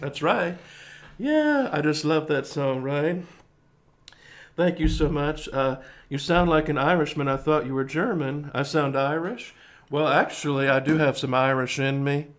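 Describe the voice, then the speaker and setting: Man in his 30s, rambling live-stream pianist, unhurried, a touch high, piano music underneath. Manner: chatty, rambling, laughs easily. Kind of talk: singing with piano, then casual chat to viewers. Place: indoors before a green-screen backdrop. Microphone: studio mic with pop filter.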